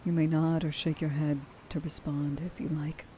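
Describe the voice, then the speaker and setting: A woman playing a tender, emotional young huntress in an ASMR roleplay, speaking softly and breathily and often dropping toward a whisper. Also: deep voice, flat delivery, moderate pace, slight background noise, lightly acted. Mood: earnest, reassuring. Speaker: voice actor.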